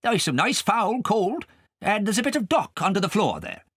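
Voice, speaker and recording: A man voicing a sly, genial fox in a storybook, with hearty old-fashioned gentlemanly patter. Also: high voice, huge pitch swings, normal speed, husky, dry recording, clean studio sound, pitched above the performer's own voice, full character voice. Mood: affable, hospitable. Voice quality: unpleasant singing voice. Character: sly fox, genial bachelor host and gleeful poacher.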